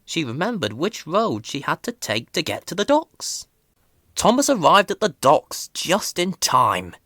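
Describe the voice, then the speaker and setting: Slow, very animated woman reading a story. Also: deep voice, no echo, studio quality. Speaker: storybook reader. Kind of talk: scripted children's story narration.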